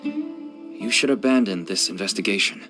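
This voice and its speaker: A man's voice: fairly low pitch, huge pitch swings, moderate pace, music in the background. An actor playing a weary middle-aged merchant and devoted father turned smuggler, speaking earnestly and with resignation in game dialogue. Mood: grave, warning.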